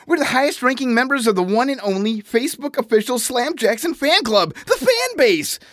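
A woman's voice: on the high side, a little fast, dry recording, clean studio sound. A woman playing an eager, gushing teenage fan club leader in a comedy audio drama. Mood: excited. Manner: gushing, earnest, excitable.

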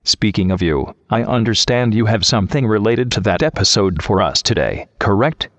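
A man's voice: lowish voice, lively intonation, moderate pace, fairly bright tone, dry recording. A man hosting a show in a scripted but conversational style. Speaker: show host.

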